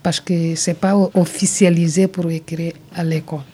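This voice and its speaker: A woman's voice: on the low side, level tone, normal speed, mellow, slightly rough. A woman from Guinea-Bissau, whose first language is Creole, speaking simple learner French.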